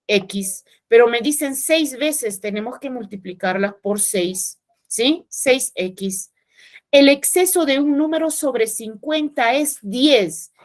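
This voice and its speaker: A woman teacher, explaining math step by step in an online class and often checking with her students. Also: high voice.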